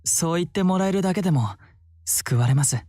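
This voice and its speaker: A man's voice: high-pitched, animated, very fast, mellow, no echo, faint music behind. An actor playing a timid, polite young man in a Japanese visual novel, speaking hesitantly and earnestly. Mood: polite, grateful.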